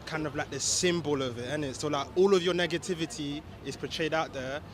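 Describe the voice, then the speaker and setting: A man's voice: on the high side, fairly quick. A man, answering questions in a casual street interview, rambling and tagging his lines with "innit".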